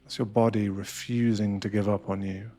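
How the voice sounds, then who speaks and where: Low-voiced man guiding a meditation over music. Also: flat delivery, normal speed, warm tone. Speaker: meditation guide. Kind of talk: ASMR guided meditation, scripted narration.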